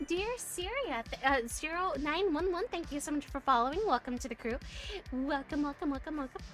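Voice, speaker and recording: A woman's voice: high-pitched, fairly quick, music in the background. A woman from the United States streaming and chatting casually with viewers, talking with lots of fillers and little laughs. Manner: chatty, giggly, many fillers and restarts. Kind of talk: casual chat reading viewer messages.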